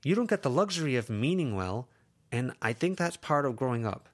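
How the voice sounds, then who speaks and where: A man's voice: medium pitch, sing-song, a little fast, voice pushed up, close to an everyday voice. A man voicing an anxious, protective teenage girl who lectures her friends intensely in an audiobook. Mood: earnest lecturing. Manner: intense, lecturing, rising to shouts. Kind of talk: audiobook character reading.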